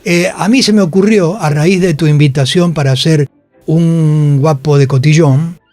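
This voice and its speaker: Man in his seventies, Buenos Aires accent, slightly high pitch, anecdotal radio guest, music underneath. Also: moderate pace, darkish tone, no room sound. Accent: Buenos Aires Spanish. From Buenos Aires, Argentina. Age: 70s. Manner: conversational, anecdotal, seeks confirmation from listener. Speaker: actor and producer, interview guest. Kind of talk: casual radio interview, reminiscing. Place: radio studio.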